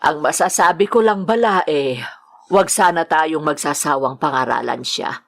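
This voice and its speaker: A gentle older mother-in-law with a low, gravelly voice. Her delivery is expressive, troubled and conciliatory, in radio-drama style. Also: a little slow, heavily acted. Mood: sorrowful, conciliatory. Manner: conciliatory, resigned.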